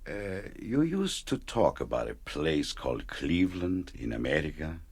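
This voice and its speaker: Male actor as weary devoted fisherman, radio play, gravelly, unhurried delivery. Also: a bass voice, very animated, a little mellow, no room sound, full character voice. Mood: gentle, hopeful. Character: devoted, weary fisherman husband, humble and resigned. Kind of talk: dramatic radio play acting.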